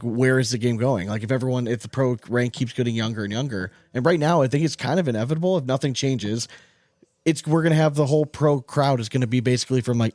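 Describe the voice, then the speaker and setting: A man's voice: medium pitch, a little mellow, no echo, clean studio sound. A man chatting casually as a podcast host, giving blunt opinions in informal language with the odd swear word.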